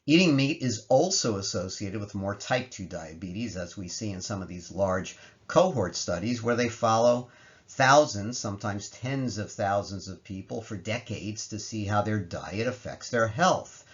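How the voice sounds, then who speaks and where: A man's voice: fairly low pitch, measured pace, highs cut off. A man presenting a slide lecture, explaining research in plain language in a conversational, emphatic way. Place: voice-over recorded over slides.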